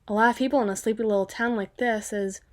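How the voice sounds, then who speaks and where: Woman doing a vampire girl for asmr roleplay, kinda high voice, prim and cocky, teasing and chatty. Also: steady tone, lightly acted. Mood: chatty, gossipy. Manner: playfully prim, teasing, with drawn-out phrases and asides. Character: small, proper, cocky female vampire, chatty and protective.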